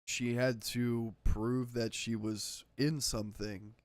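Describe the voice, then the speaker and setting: A man's voice: medium pitch, flat delivery, takes it slowly. A man co-hosting a casual podcast, easygoing and agreeable, acting out the quotes in his stories. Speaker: podcast co-host.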